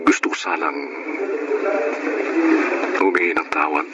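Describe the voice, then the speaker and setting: An actor playing a jealous, insecure jobless husband in a Filipino radio drama, with dramatic delivery. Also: male voice, extremely low pitch, fairly even intonation, measured pace. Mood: subdued, sorry. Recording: noisy radio drama recording.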